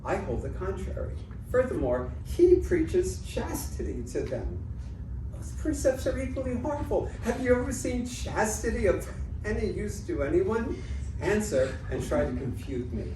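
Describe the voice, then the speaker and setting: A woman's voice: mid-range voice, very animated, a little slow. A woman reading the part of a cocky, scornful, quibbling debater in a staged reading of ancient comedy, with a theatrical, mocking delivery. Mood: argumentative. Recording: noisy, echoey room, distant mic.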